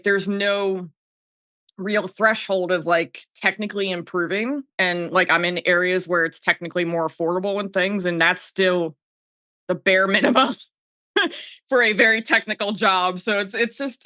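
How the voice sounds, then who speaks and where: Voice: mid-range voice, bright, forceful tone, dry recording, clean studio sound. A podcaster in their thirties talking casually and candidly, animatedly voicing their own thoughts as quoted self-talk.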